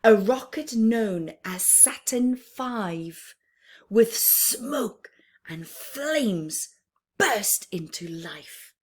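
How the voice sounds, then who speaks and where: Woman, children's story time reader, very slow pace, medium pitch, expressive phrasing, pauses, hushed dips. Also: husky. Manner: deliberate phrasing with pauses, often dropping to a hushed near-whisper. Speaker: children's story time host reading a picture book aloud. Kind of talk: expressive read-aloud for young children, with chatty asides.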